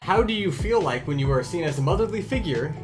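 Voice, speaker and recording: A man's voice: fairly high pitch, expressive, fast talker, soft background music, close to an everyday voice. A man playing a grumpy, foul-mouthed male medicine cat who hosts an improvised fan question show with sarcastic, needling banter. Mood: teasing. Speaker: host of a character question-and-answer show.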